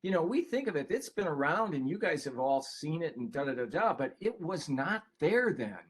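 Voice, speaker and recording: A man's voice: fairly high pitch, very animated, normal speed, husky. An older man presenting a webinar in a casual, conversational way, with a folksy, rambling manner that often interrupts itself. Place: online video call.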